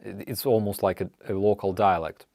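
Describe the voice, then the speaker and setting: A man with a Ukrainian accent hosting a casual live chat, answering viewer questions in halting, apologetic English with many restarts. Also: on the low side, fairly even intonation, creaky, a little mellow. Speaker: livestream host.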